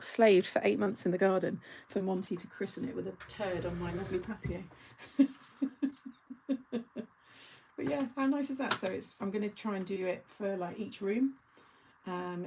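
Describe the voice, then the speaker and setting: A woman's voice: mid-range voice, creaky, a little mellow, a little hiss or hum, poor audio. A middle-aged woman hosting a craft channel, chatting casually and rambling through asides with frequent laughter. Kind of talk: casual live chat.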